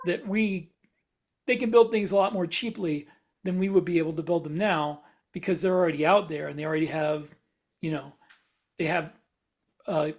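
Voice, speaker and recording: A man in a casual podcast panel discussion, explaining things in a halting way as he reasons aloud. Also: high-pitched, a little mellow.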